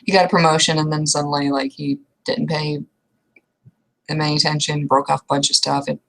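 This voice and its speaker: Lower-pitched young woman, hesitant and casual. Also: little pitch movement, brisk, creaky, a bit bright, low-quality recording. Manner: casual, hesitant, trailing restarts with mild swearing. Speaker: roleplay player. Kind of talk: improvised in-character roleplay conversation.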